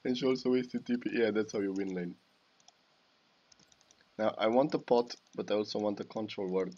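A man's voice: on the low side, moderate pace, mellow, muffled recording. A young man with a Romanian accent commenting over his own gameplay at home, cursing at misplays. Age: young adult. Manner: swears and exclaims at misplays. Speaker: gaming YouTuber. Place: small home room.

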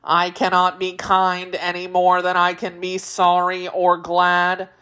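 A woman voicing a stern, unemotional male machine man in a children's storybook, deliberate and matter-of-fact. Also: on the low side, completely flat delivery, fairly bright tone, clear, resonant tone.

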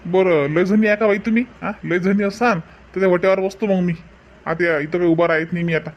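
A man's voice: sits high, steady tone, fairly quick, highs cut off. A man playing a chatty village umbrella seller in a comic cartoon, with folksy sales patter and a Varhadi Marathi accent. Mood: persuasive, friendly. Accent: Varhadi Marathi from Vidarbha.

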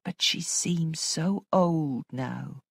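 A woman voicing a stern, troubled older housekeeper in a storybook, speaking with weighty, deliberate emphasis. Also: very deep voice, big pitch swings, no echo, voice pushed down, full character voice. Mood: sad. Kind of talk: dramatic audiobook character acting.

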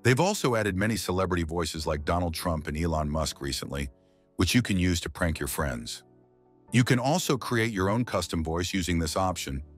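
A man hosting a scripted software tutorial, narrating as the presenter. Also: deep voice, expressive, normal speed, faint music behind. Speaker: YouTube tutorial host.

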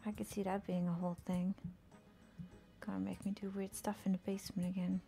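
A young woman streaming a game, murmuring casual commentary to herself and often slipping into a whisper, with a soft, soothing voice. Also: mid-range voice, level tone, brisk, gravelly, soft background music.

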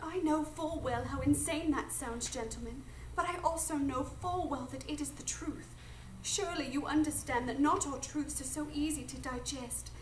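Woman acting insistent genteel mother, very high voice, earnest, formal, distant echoey radio play. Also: moderate pace, lightly acted. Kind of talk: live radio drama acting. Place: live stage before an audience. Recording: distant echoey stage, faint noise.

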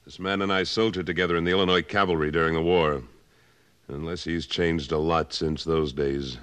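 An actor playing a tough, steady cattleman and war veteran in an old-time radio western, terse and firm. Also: a bass voice, fairly quick, clean tone.

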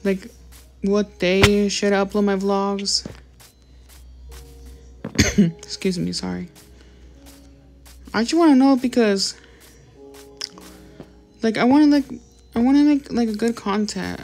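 A woman's voice: medium pitch, fairly even intonation, slow, long pauses, darkish tone, faint music behind. A woman talking casually over her gameplay as a YouTuber, rambling and apologizing often, with many fillers. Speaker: gaming YouTuber.

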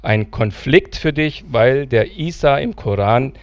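A man's voice: medium pitch, lively intonation, measured pace, no room sound, muffled recording. Polite middle-aged man interviewing. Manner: formal, polite questioning. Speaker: interviewer, church host. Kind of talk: church stage interview. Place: church hall.

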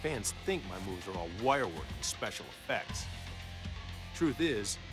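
An actor playing a cocky, flippant action star in a fighting game, wisecracking with an American accent. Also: male voice, on the low side, very animated, a little slow, music in the background. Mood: smug bravado. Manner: brash, wisecracking.

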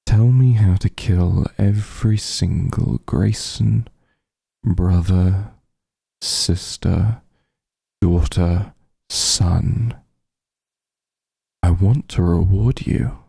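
Vampire mafia heir for ASMR roleplay, male actor: low, very dark husky voice, close and dry, teasing, possessive. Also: steady tone, no echo, exaggerated voice. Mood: seductive, coaxing. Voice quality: husky, breathy, gravelly. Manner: slow, smooth-tempered, teasing drawl with soft murmurs. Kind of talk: intimate ASMR roleplay acting.

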